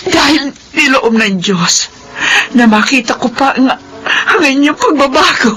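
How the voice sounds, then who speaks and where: An actress playing a loving middle-aged mother in a classic radio drama, speaking with deep emotion. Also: animated, unhurried, a bit bright, faint music behind. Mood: overjoyed. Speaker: mother character. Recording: radio drama with faint noise.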